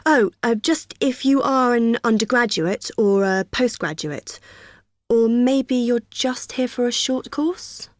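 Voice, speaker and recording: An actress playing a friendly student union receptionist who explains a form patiently in a scripted exam dialogue. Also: female voice, a little high, takes it slowly, dry recording, clean studio sound. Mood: helpful. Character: cheerful young female union helper.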